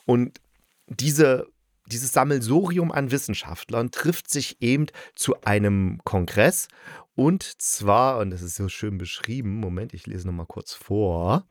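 Low-voiced man giving an enthusiastic casual review. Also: unhurried, no room sound, clean studio sound. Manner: enthusiastic, informal, rambling. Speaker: radio play reviewer.

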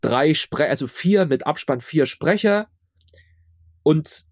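A man's voice: fairly high pitch, lively intonation, takes it slowly, no room sound, clean studio sound. A man chatting casually as an interview guest, stammering over repeated words and tossing in ironic asides. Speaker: interview guest, audio drama producer.

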